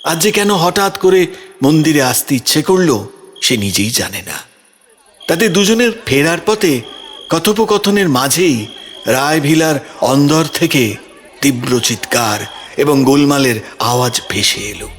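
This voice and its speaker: A man's voice: a little high, lively intonation, measured pace, pauses often, fairly bright tone. A man narrating a scripted audio story in a dramatic storytelling style. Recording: music behind the voice.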